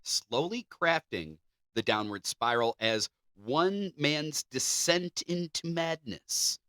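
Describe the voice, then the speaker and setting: A man's voice: on the high side, expressive, slow pace, bright, forceful tone, no echo. A man co-hosting a podcast, reading articles aloud with casual banter. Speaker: podcast co-host.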